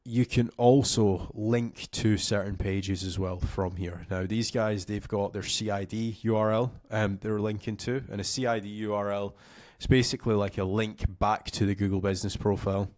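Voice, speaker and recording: A young man, slightly hoarse with a cough, giving a casual, filler-heavy screen-share tutorial into a close studio mic. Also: lowish voice, steady tone, moderate pace, a little mellow, dry recording. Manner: casual, rambling with many fillers. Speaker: SEO coach.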